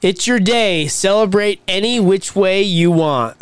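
A man's voice: high-pitched, unhurried, clean tone, no room sound. A man in his forties, co-hosting a podcast in casual, profane, laughing banter. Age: 40s. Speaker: podcast co-host.

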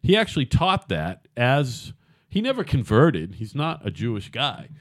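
A man's voice: mid-range voice, huge pitch swings, measured pace, no room sound. Podcast host, male, 50s, loose and profane, dry close sound. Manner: rambling, swears freely. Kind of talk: casual chat.

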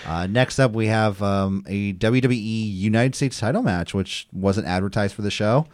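A man with a lowish voice talks through a match recap in a relaxed, chatty way, leaning on his notes and filling pauses with ums and uhs. The sound is close and dry, with no room around it. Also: no echo. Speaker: podcast co-host recapping wrestling. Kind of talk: casual chat, play-by-play recap.

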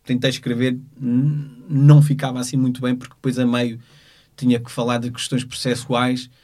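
A man with a European Portuguese accent gives an unscripted account in the voice of a working lawyer. His mid-pitched voice stays quite flat, and he moves forward haltingly, measuring and searching for each phrase. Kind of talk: unscripted spoken account of a court case.

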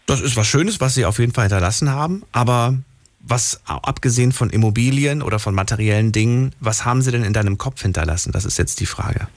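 A male interviewer speaking in a relaxed, conversational way. He sounds curious, steering the talk with follow-up questions in a mid-pitched voice. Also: fast talker, no echo.

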